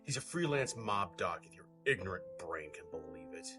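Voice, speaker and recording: Man playing a deep growling bully of a criminal father. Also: expressive, moderate pace, music in the background. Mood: irritated, scornful. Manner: contemptuous, growling. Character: foul-mouthed, bullying, short-tempered criminal father. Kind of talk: audio horror story acting.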